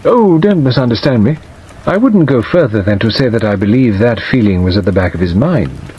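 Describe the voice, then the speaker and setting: A man voicing a weak, hesitant middle-aged gentleman in an audiobook, plaintive and wistful. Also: mid-range voice, slightly rough. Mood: sorrowful, confiding. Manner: hesitant, halting, self-qualifying. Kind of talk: dramatised audiobook reading. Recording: dull audio under steady rain noise.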